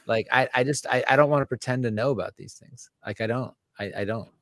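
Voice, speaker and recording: Scratchy low-voiced guy in his 40s, self-deprecating, dead dry studio mic at home. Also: fast talker, no echo. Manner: animated, self-deprecating, swears casually. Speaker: musician and author, guest. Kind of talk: candid conversational interview. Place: home room with a studio microphone. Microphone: large studio condenser mic on a stand.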